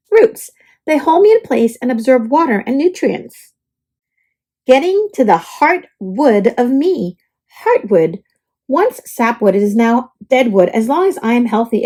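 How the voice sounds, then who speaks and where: A woman reading a children's book aloud in an animated, expressive way. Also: fairly high pitch.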